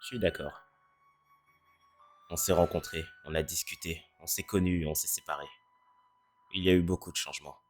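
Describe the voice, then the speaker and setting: A performer voicing a cynical, self-deprecating teenage boy in a French fan dub who speaks in a deadpan way. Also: sits low, normal speed, pauses often, lightly acted. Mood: earnest. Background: faint music.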